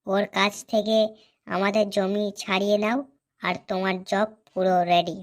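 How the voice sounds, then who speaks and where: A woman's voice: medium pitch, little pitch movement, moderate pace. A woman speaking dialogue for a comedy cartoon. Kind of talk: cartoon comedy dialogue voicing several characters. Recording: loud music behind the dialogue.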